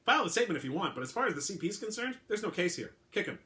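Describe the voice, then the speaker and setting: Brusque legal guy, acted by a reader, pitched up, emphatic, swoops around, fed up. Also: big pitch swings, racing, pushed and bright, full character voice. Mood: firm, dismissive. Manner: emphatic, exasperated. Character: brusque male prosecution liaison, dismissive and emphatic. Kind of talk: dramatic story reading.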